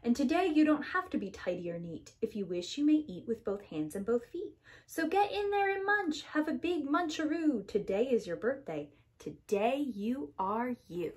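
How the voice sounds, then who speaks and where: A woman's voice: sits high, animated, moderate pace, few pauses. A woman voicing a jolly, bossy male birthday bird from a children's storybook, exuberant and urging. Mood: jolly, indulgent.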